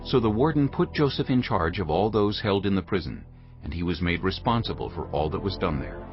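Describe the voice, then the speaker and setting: A man giving a solemn, measured and dramatic narration of scripture, formal in delivery. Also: low voice, lively intonation, dull audio, over music.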